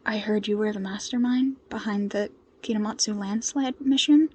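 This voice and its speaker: A woman voicing a nervous, awestruck young male intern in an audio story, halting and tentative. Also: mid-range voice, fairly even intonation, darkish tone, slight background noise, close to an everyday voice.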